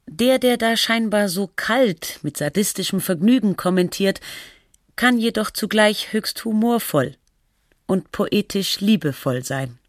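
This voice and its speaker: A sober documentary narrator played by a woman in a very dry studio. Her pitch is medium and her delivery measured. She reads in literary, analytical sentences, as in a radio feature. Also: expressive, no room sound, close to an everyday voice.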